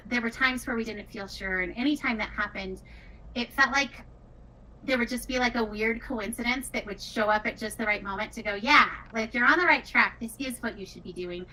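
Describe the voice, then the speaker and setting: A woman's voice: on the high side, fairly quick, sharp tone. A woman talking casually and earnestly as a game developer in an interview, reflecting as she goes. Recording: distant mic, faint background noise.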